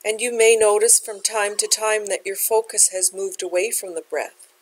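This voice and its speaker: Woman gently guiding a meditation. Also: mid-range voice, steady tone, moderate pace, rough recording. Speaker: meditation teacher. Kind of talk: guided meditation instruction.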